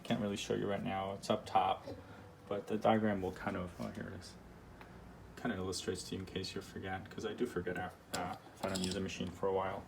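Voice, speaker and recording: A man presenting a casual product demo in a halting, unscripted, explanatory way. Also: low voice, gravelly, background noise. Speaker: presenter demonstrating vintage audio gear.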